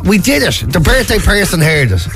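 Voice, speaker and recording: Wry Irish man, lively higher voice. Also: moderate pace, soft background music. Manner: wry, mock-indignant joking. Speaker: radio co-host. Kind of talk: casual morning radio banter. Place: radio studio.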